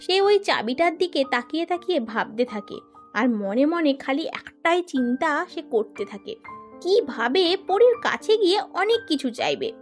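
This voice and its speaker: A woman's voice: sits high, very animated, normal speed, faint music behind. A woman reading scripted narration for a children's fairy tale.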